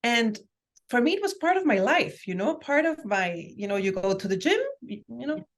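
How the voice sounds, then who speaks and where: A woman's voice: a little high, sing-song, moderate pace. A woman from Guatemala, telling her story conversationally as an interview guest, in an enthusiastic, emphatic way. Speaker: guest, family constellations practitioner and life coach.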